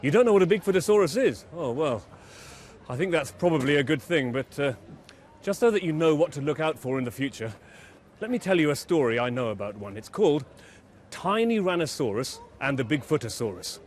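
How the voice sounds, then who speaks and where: A man telling a children's bedtime story in an expressive, dramatic way, leaning on key words. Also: on the high side, a little fast.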